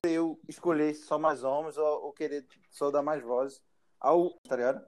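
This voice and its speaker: A man's voice: fairly high pitch, steady tone, moderate pace, poor audio. A man hosting a casual podcast chat, laughing often and trailing off mid-sentence. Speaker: podcast host.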